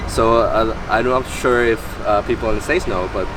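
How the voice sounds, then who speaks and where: A man's voice: fairly low pitch, moderate pace. Young man from Malaysia, casual interview. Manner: talks in loose run-ons full of "like". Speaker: beatboxer guest. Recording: distant mic, street noise.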